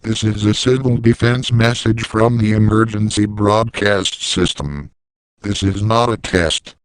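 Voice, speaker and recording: A man playing a calm, stern 1980s civil defense announcer, reading a formal emergency message in a measured, deadpan, official manner. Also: lowish voice, smooth, dry recording, natural voice, poor audio. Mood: grave, controlled.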